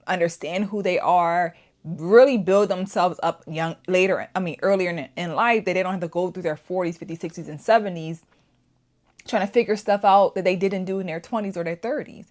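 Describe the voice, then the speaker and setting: A woman hosting a casual opinion vlog, talking emphatically and repeating her points with rhetorical questions. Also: fairly low pitch. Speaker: YouTube channel host. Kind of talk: casual opinion commentary to camera.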